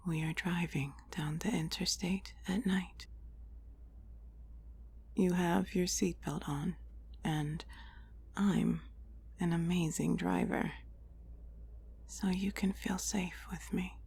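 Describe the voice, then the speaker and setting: A woman whispering a guided meditation in a breathy, soothing, deliberate voice with long pauses between phrases. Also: fairly low pitch, fairly even intonation, darkish tone, slight background noise. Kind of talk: whispered guided relaxation, ASMR-like scripted narration.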